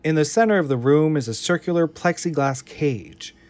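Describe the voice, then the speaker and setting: A man's voice: a little high, moderate pace, a little mellow. A man reading scripted screenplay narration for an audio drama. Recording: music under the voice.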